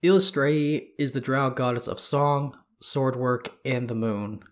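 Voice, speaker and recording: A man hosting a podcast, sounding congested as he reads lore in a steady, measured way. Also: mid-range voice. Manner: steady, measured reading of reference material. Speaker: podcast host. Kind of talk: scripted lore narration.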